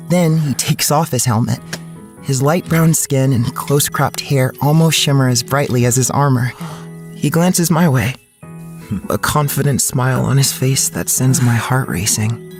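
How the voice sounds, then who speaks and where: A man's voice: mid-range voice, a little slow, soft background music, lightly acted. A man playing a lovestruck, anxious young college student, narrating his inner thoughts intimately in an audio drama. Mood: awestruck. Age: young adult.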